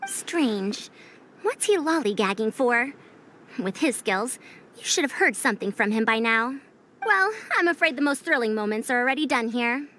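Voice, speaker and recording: A woman's voice: on the high side, expressive, normal speed, pushed and bright. A woman voicing a proud, self-assured veteran scholar in a video game, slightly haughty with old-fashioned phrasing. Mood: curious, brisk. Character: confident, proud, brisk female veteran scholar. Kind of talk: voiced video game dialogue.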